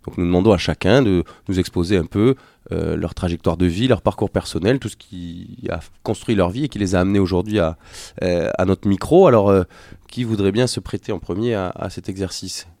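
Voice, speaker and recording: Male local radio host, low and mellow, halting, lots of fillers. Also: creaky, no room sound, clean studio sound. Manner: hesitant, many fillers and repeated words. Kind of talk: local radio talk show hosting. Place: radio studio.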